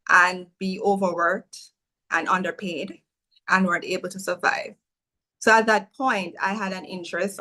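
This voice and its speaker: A woman from Jamaica speaks with a clear Jamaican accent and a bright, forward tone. She answers as a guest attorney, telling her story earnestly and at a measured pace, correcting herself mid-sentence as she goes. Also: medium pitch, low-quality recording. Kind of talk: interview answers.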